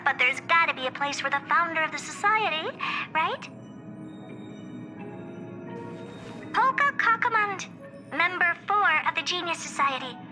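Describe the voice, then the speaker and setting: A woman voicing a proud, eccentric, youthful female genius scientist in a video game, self-assured and theatrical, delivering scripted monologues. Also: high voice. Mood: proud, matter-of-fact. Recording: music behind the voice. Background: music.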